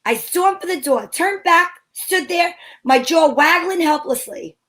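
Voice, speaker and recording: A woman's voice: extremely high pitch, expressive, a little slow, fairly bright tone, full character voice. A woman playing a feisty, wry heroine in an old-time radio show, with sassy, theatrical delivery. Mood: wry narration. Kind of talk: hobby old-time radio acting with first-person narration.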